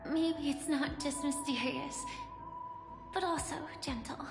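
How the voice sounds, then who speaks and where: An actress playing a gentle, shy, wistful young woman in a video game, soft-spoken and hesitant. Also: high voice, steady tone, takes it slowly. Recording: reverberant hall echo, music behind.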